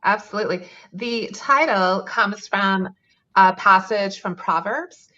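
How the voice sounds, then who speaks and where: Woman, guest author on a call; muffled lo-fi line, relaxed thoughtful delivery. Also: mid-range voice, slow. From Canada. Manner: thoughtful, with frequent ums and light laughs. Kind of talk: conversational interview answers. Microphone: remote call.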